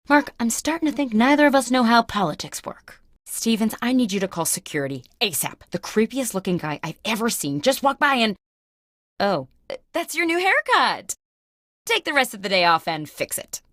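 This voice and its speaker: Casting: comic game boss, self-important and oblivious, highish voice, wildly animated phone manner, dry close recording. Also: female voice, dry recording. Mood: curt, bossy. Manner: bossy, exaggerated, theatrical delivery. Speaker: video game character host. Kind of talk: comic scripted phone monologues.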